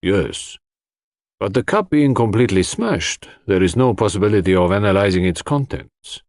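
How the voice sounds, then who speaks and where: A man plays an authoritative London specialist testifying in a mystery audiobook. The low voice is formal, measured and precise, with varied intonation and a dry sound. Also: very animated, darkish tone, dry recording. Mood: measured, certain. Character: authoritative male London toxicologist giving evidence at inquest.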